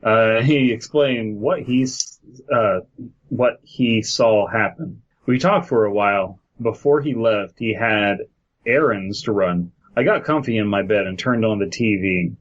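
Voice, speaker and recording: Male podcast co-host, lowish voice, slightly dull lo-fi sound, joking casually through a story reading. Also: unhurried. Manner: joking, crude humor. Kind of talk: casual banter while reading a horror story aloud.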